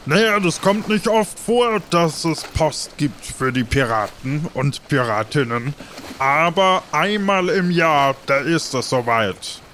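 Male actor as chatty seafaring postman, slow, hesitant, colloquial, improvised adventure play, faint noise behind. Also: on the high side, lively intonation, fairly bright tone. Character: chatty male postman sailing passengers to a pirate island.

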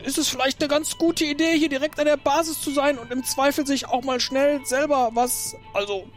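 A man playing an earnest, hungry pirate crewmate who deliberates aloud in an improvised audio drama. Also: extremely high pitch, steady tone, soft background music.

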